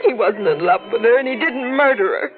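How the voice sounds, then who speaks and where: Woman acting a high-voiced, desperate young sister. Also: lively intonation, a little fast, natural voice. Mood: insistent, distressed. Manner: earnest, emotional pleading. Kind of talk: 1940s radio drama acting. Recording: muffled old radio recording with music.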